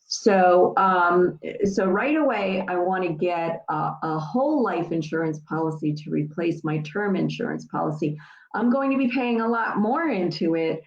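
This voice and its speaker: A woman in her sixties hosts a casual, explanatory talk in a slightly low voice, chatty and repetitive, often pausing to check in with her listeners. The sound is dull and lo-fi, with a roomy echo around her. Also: a little mellow. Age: 60s. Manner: chatty, repeats herself, checks in with "all right?" and "okay?". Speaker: host. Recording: echoey room, dull lo-fi sound.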